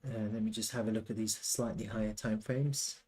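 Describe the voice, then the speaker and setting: A man's voice: on the low side, fairly even intonation, fairly quick, mellow. A man hosting a live market analysis, explaining step by step and repeating key points for emphasis. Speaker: live stream host and trading educator. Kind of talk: live chart analysis commentary.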